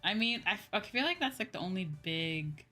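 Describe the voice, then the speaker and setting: A woman hosting a casual livestream, chatting with viewers in a drawn-out, exclamatory way and often repeating her phrases. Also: medium pitch, animated, bright, forceful tone, faint music behind. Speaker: livestream host.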